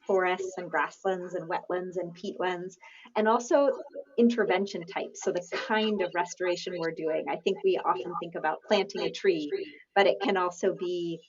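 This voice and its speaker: A woman's voice: medium pitch, breathy edge, muffled recording. A woman from the United States, a chief executive, giving friendly, explanatory answers as an interview guest.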